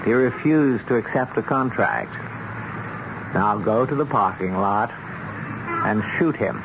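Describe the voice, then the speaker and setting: Cold, coaxing crime boss, noisy recording. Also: male voice, on the low side. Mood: coldly persuasive. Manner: calm, measured, coaxing, menacing. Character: cold, smooth-talking male boss of contract killers. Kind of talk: radio drama acting. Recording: dull, noisy old radio broadcast recording.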